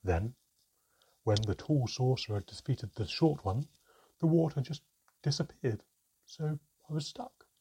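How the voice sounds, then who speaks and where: A man voicing a tiny, proud female mermaid with a deep, strong voice and a sarcastic, sneering, bitter manner. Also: expressive, moderate pace, mellow, a little hiss or hum, close to an everyday voice, rough recording.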